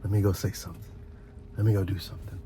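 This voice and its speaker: A man giving a rambling, encouraging ASMR monologue as a video host, halting and earnest, repeating phrases for emphasis. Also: low voice, flat delivery, noisy background.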